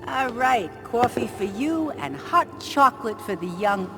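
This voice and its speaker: A chatty small-town server in a story game. She is folksy and prim, with a lively swinging pitch and clear music behind her. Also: a little slow. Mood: chirpy, judging. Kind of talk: video game acting.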